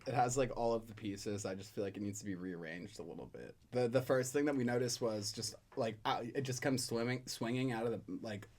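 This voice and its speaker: A man's voice: mid-range voice, a little fast, few pauses, darkish tone. A man giving casual, laid-back feedback on a track as a guest reviewer, with many fillers.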